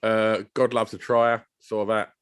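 A man's voice: on the low side, little pitch movement, a little slow, clean tone. A British man co-hosting a podcast in casual, teasing banter, often laughing. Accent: British English. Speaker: podcast co-host.

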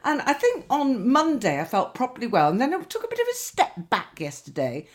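A woman's voice: sits high, huge pitch swings, fairly quick. A woman, a podcast co-host telling rambling, sweary anecdotes in casual chat, her nose slightly stuffy.

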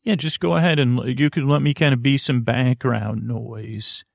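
A man hosting a sleep podcast in a creaky voice, giving a gentle, reassuring monologue that meanders haltingly from one tangent to another. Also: mid-range voice, steady tone, dry recording, clean studio sound. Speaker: podcast host.

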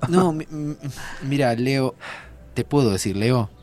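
An actor with an Argentine accent playing a nervous, well-meaning time traveller in an audio drama, stammering and excitable. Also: male voice, mid-range voice, takes it slowly, faint music behind. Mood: hesitant, careful. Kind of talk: Spanish-language audio fiction acting.

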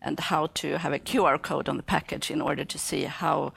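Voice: medium pitch, fairly even intonation, fairly quick, creaky, fairly bright tone, slightly rough. A panelist on the beauty industry, speaking in an assured, informative way. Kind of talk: panel discussion.

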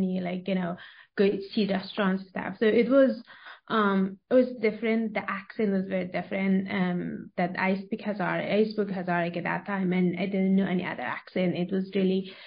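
A young woman from Afghanistan answering interview questions, pausing often with fillers and restarting her sentences. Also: mid-range voice. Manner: hesitant, many fillers and restarts. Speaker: guest. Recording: dull lo-fi sound.

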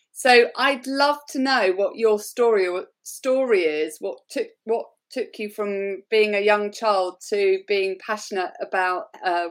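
A woman hosting a podcast interview, chatting enthusiastically and sharing her own experiences. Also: mid-range voice. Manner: enthusiastic, warm interjections, laughs easily. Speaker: podcast host. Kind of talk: conversational interview.

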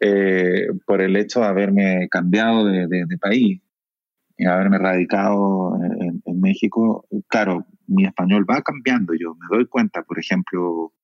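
A Chilean novelist in his forties with a Chilean Spanish accent, speaking thoughtfully, with many pauses and fillers. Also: low voice, creaky, dry recording, dull audio. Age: 40s. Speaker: novelist guest. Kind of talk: reflective conversation.

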